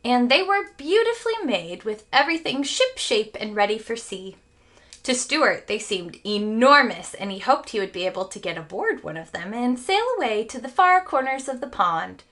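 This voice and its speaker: Lively, bright-voiced woman reading a children's storybook. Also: fairly high pitch. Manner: animated, pauses to explain to children. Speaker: teacher reading aloud. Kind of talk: expressive children's storybook read-aloud.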